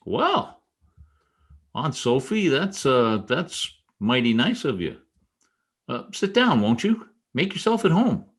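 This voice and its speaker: A man playing a vain newspaper columnist in an old-time radio comedy, blustering and easily flustered by flattery. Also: mid-range voice, slow pace, husky, full character voice. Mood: flattered, eager. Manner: animated, blustering, hesitant when flattered. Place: home, online video-call production.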